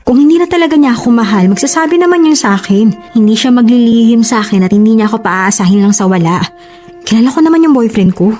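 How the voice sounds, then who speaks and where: Bride-to-be, played by a woman: Filipino accent, higher-pitched, devoted but hurt, pleading conversational drama delivery. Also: fast, darkish tone, natural voice. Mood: defensive, devoted. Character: long-suffering, devoted bride-to-be, hurt and defensive. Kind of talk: Filipino relationship audio drama acting.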